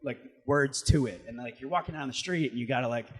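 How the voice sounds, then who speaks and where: A young man with a mid-pitched voice talks as a musician in an interview. His delivery is loose and rambling, sprinkled with "like", in an easy conversational style. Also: quick pace, rough recording. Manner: loose, rambling, heavy use of "like". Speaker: band musician being interviewed. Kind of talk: casual chat.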